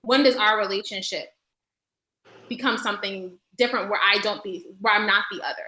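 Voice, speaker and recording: A woman's voice: medium pitch, steady tone, brisk, pushed and bright, echoey room, poor audio. A woman on a discussion panel, speaking reflectively and often repeating words as she searches for the next thought. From United States.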